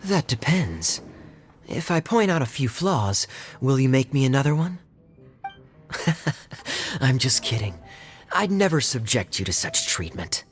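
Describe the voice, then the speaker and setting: A man voicing a playful, smooth-talking young male knight who loves to tease. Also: medium pitch, sing-song, a little slow, long pauses. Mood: sly. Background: faint background music.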